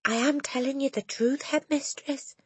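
A woman voicing a calm, kind young female teacher who speaks steadily. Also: high-pitched, moderate pace, no echo, pitched above the performer's own voice, natural voice. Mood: calm firm.

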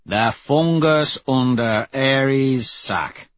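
A man voicing a gruff, impatient, foul-mouthed squad mate in an audiobook, with curt, growled lines. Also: medium pitch, extremely slow, pitched above the performer's own voice. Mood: growling defiance. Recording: muffled, roomy audio.

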